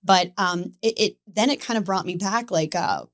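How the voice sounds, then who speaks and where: Podcast guest: woman in her 30s, lively conversational storyteller, filler-heavy and animated. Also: medium pitch, moderate pace. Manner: animated, chatty, filler "like" throughout.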